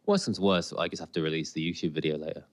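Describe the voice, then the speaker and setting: A man's voice: extremely low pitch, fairly even intonation, very fast. A man co-hosting a podcast with casual, colloquial banter about television, talking through a sore throat from a cold. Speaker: podcast co-host. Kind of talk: casual banter, TV episode commentary.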